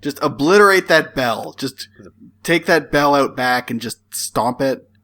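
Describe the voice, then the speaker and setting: A man's voice: medium pitch, measured pace. A man co-hosting a comedy podcast, making dry absurd jokes. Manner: deadpan jokey quips. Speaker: podcast co-host. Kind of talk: joking podcast banter.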